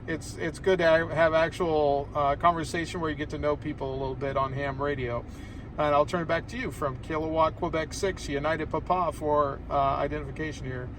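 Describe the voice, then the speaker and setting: An American man chats casually on ham radio from inside a car. His voice sits a little high. The mic is some way off, with faint noise around him. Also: a bit bright, far from the mic, low-quality recording. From Southern California, United States. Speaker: amateur radio operator.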